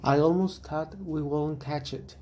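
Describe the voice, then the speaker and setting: A man acting a steady, protective monster hunter in an amateur radio drama, consoling and matter-of-fact. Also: a little high, measured pace, a little hiss or hum, lightly acted. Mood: determined.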